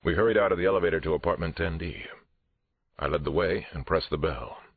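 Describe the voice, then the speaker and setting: A man's voice: fast talker, full character voice. A man reading a first-person audiobook thriller as a police detective, tense, restrained and dramatic. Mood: tense, controlled. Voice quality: very deep, husky. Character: male police detective narrator, angry but controlled. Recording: roomy, muffled phone-like audio.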